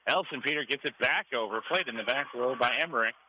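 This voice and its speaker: A man's voice: mid-range voice, quick pace, sharp tone. American man calling volleyball over gym noise. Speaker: radio play-by-play announcer. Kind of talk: live volleyball play-by-play commentary. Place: high school gym during a match. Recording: clearly noisy gym ambience. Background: gym ambience and noise.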